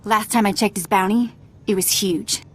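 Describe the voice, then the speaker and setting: A woman's voice: medium pitch, fairly even intonation, moderate pace, breathy edge, close to an everyday voice. A woman playing a cocky, greedy bounty hunter in a video game.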